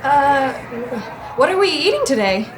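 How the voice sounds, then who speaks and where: A woman's voice: high voice, big pitch swings, a little slow, a crowd in the background. A woman playing a wary, hesitant student questioning things in an audio drama, with busy cafeteria noise. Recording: noisy, crowded cafeteria ambience.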